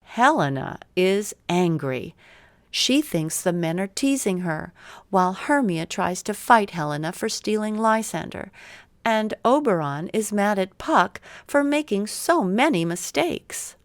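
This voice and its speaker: A woman narrates a children's story at an unhurried pace. Her pitch sits in the middle, and each simple sentence is measured out. The sound is dry, with no room around it. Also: lively intonation, dry recording.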